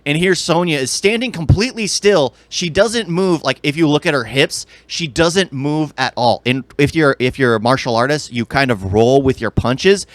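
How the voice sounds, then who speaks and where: A man's voice: on the high side, normal speed, few pauses, dry recording. A man co-hosting a podcast in casual movie chat, enthusiastic about details and often repeating and rephrasing himself. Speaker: podcast co-host.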